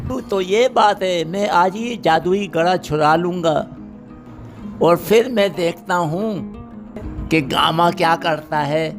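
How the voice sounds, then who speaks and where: A man doing a gravelly greedy cartoon thief. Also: high-pitched, slow. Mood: scheming. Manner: sly and boastful, turns pleading when trapped. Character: greedy scheming male villager, thief. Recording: voice over background music.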